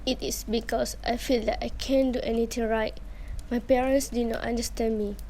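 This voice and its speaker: A woman's voice: on the high side, flat delivery, moderate pace, dark tone, a little hiss or hum, close to an everyday voice. A young woman playing a weary, hurt daughter in an amateur radio drama, confessing in a subdued, hesitant way. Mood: troubled. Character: weary, hurt daughter belittled by her parents.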